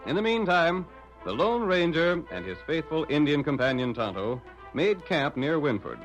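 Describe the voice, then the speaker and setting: A man's voice: on the high side, sing-song, normal speed. A man with an American accent narrating an old radio western in dramatic, formal 1940s style. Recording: muffled old radio broadcast with music.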